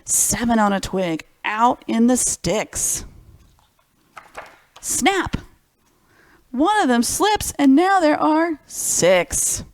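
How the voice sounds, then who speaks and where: A woman's voice: on the high side, big pitch swings, extremely slow, pauses often, no echo. A woman hosting a children's library storytime, reading picture books expressively and speaking simply and directly to young listeners.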